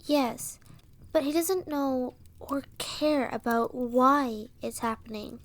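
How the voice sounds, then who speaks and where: A woman playing an earnest, sorrowful young villager and rebel in a fantasy audio roleplay, speaking with dramatic pauses. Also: high voice, no echo. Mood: troubled, hesitant. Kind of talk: audio roleplay acting, storytelling dialogue.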